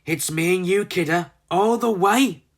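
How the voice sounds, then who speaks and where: A storybook narrator voicing a cheeky, excitable young girl chicken with a chummy manner. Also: medium pitch, a little slow, pitched above the performer's own voice. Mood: excited.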